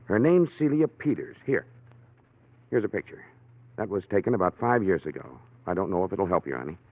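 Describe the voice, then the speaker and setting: Anxious, secretive client, tinny old radio. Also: male voice, low voice, lively intonation, a little fast. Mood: guarded. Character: anxious, secretive male client hiring a detective. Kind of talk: 1940s radio drama acting. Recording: tinny, narrow-band old radio recording.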